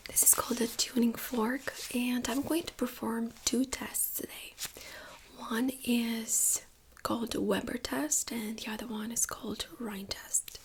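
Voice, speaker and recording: A woman's voice: a little high, steady tone. Whispering woman in an ASMR doctor role-play. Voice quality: breathy whisper. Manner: gentle, reassuring, unhurried clinical explaining. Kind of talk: soft-spoken ASMR medical exam role-play.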